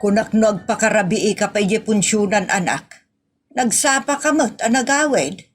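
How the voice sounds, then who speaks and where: A woman playing a teasing, nagging mother and wife in a comedy audio drama, with a chiding, expressive manner. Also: medium pitch, moderate pace, faint music behind, natural voice. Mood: reasoning. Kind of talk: Ilocano comedy radio-style drama acting.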